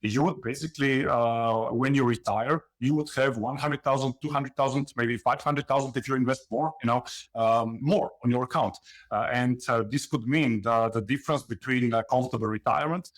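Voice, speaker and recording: A man from Slovenia, a guest in an interview, explaining investing in a halting way full of fillers and "you know". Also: medium pitch, fairly even intonation. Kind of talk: conversational interview.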